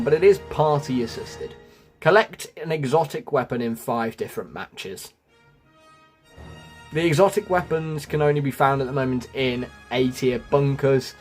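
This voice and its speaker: A man hosting a gaming guide, explaining things step by step to viewers in a scripted narration style. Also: medium pitch. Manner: explanatory, addresses viewers as folks. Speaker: gaming video host. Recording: music under the voice. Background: music.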